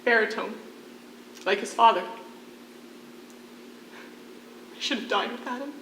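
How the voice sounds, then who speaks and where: A woman playing a widowed mother and prisoner in a live one-act play, gentle and emotive. Her voice is fairly high and bright, picked up at a distance in an echoing space. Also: a little fast, natural voice. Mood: sorrowful. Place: theatre stage, recorded from a distance. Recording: echoey, distant stage recording with faint noise.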